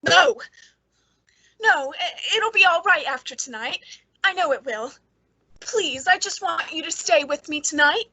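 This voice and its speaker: Radio suspense role, for an actress: frightened, brittle, lonely spinster with dark secrets. High, sharp female voice, dry sound. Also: expressive, a little slow, long pauses, dry recording, exaggerated voice, poor audio. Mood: frightened, pleading. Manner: nervous, intense, brittle. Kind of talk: old-time radio suspense acting.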